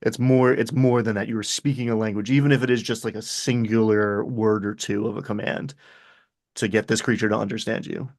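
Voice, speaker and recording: A man talking casually as a game master, telling stories in an animated way and acting out little asides. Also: fairly low pitch, a little fast. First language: English. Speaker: game master discussing his tabletop games. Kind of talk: casual conversational chat.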